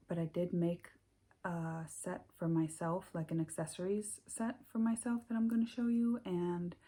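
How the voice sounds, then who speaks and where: Icelandic woman, American English accent, slow and unhurried, mellow husky tone, hesitant casual host. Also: lowish voice. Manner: hesitant, many ums and restarts, soft-spoken. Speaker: knitting podcast host. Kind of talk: casual chat to camera.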